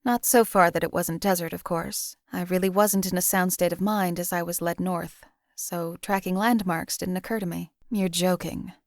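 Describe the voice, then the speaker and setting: A woman's voice: fairly low pitch, moderate pace, few pauses, no echo, clean studio sound, natural voice. A woman playing a cold emotionless assassin. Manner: flat, cold, clipped and detached. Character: cold-blooded female assassin companion, emotionless. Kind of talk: game companion voice lines.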